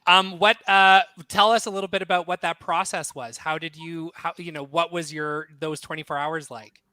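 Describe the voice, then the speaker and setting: A man's voice: on the high side, flat delivery, moderate pace, pushed and bright, smooth, dry recording. A man hosting an online theatre event, introducing speakers and interviewing guests.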